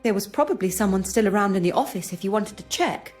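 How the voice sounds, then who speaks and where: A woman's voice: medium pitch, animated, quick pace, darkish tone, natural voice. A woman voicing a defensive young journalist in a video game, polite and guarded under questioning. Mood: rattled, defensive. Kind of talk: scripted video game dialogue acting. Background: music.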